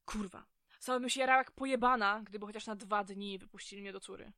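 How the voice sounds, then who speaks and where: A woman's voice: mid-range voice, fairly even intonation, fast talker, pushed and bright, no room sound, clean studio sound. An actress playing a crude, brash female inmate in an audio drama, speaking in coarse, blunt slang. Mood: envious, teasing.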